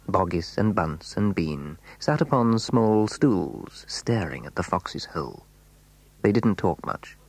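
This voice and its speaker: A man with a husky voice narrating a scripted children's story as its storyteller. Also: low-pitched, dry recording.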